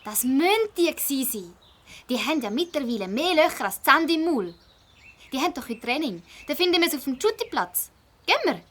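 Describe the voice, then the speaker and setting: A woman voicing an eager, determined schoolgirl detective in a children's audio drama, emphatic and expressive, with a Swiss German accent. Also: high-pitched, fairly quick.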